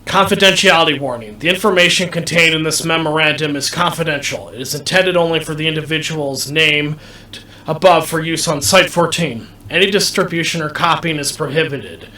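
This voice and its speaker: A roomy, distant recording of a man commentating a video game. His voice is bright and forceful, and he explains each step deliberately, circling back over his points. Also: fairly high pitch. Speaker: gamer commentating a playthrough. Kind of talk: gameplay commentary, explaining controls to viewers. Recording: roomy, distant mic, faint background noise.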